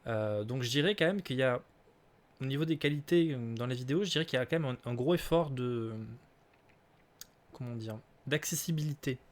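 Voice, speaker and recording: A man's voice: medium pitch, fairly quick. Casual streamer, man in his late twenties, hesitant, filler-heavy delivery. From southern France. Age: late 20s. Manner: hesitant, many fillers and restarts, laughs easily. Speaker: streamer answering viewer questions.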